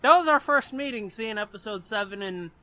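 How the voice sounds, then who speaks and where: A man's voice: high voice, lively intonation, brisk, pushed and bright. A man co-hosting a podcast in casual comedic banter, crude and sardonic, swearing freely. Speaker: podcast co-host.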